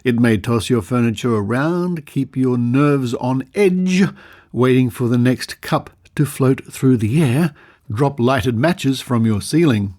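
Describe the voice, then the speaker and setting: Australian man hosting, mid-pitched voice. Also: lively intonation, slow pace, darkish tone. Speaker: podcast host. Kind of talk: narration, reading aloud with asides.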